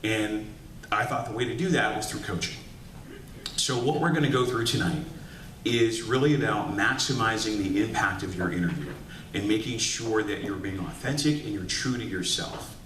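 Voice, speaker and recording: A man's voice: on the low side, moderate pace, fairly bright tone. A man leads a motivational workshop in a meeting room, upbeat and talking straight to the crowd. He is picked up from a distance, so his voice carries a roomy echo and a faint hiss. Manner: upbeat, calls to the audience with "right?". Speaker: executive coach giving a workshop talk. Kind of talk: lively motivational workshop lecture. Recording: echoey room recorded from afar with faint hiss.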